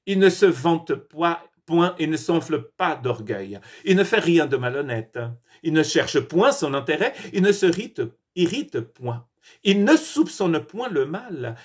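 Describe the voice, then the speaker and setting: Man, husky voice, pastor, earnest devotional talk, halting restarts. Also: fairly high pitch, expressive. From Portuguese-speaking country. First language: Portuguese. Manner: earnest, with frequent restarts and hesitations.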